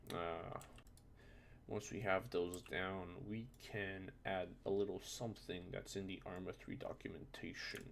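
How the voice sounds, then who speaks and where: A man who is a non-native English speaker, presenting a casual, unscripted software tutorial in an informal, self-deprecating way with muttered asides. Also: low-pitched, little pitch movement, measured pace, vocal fry, slight background noise. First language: not English.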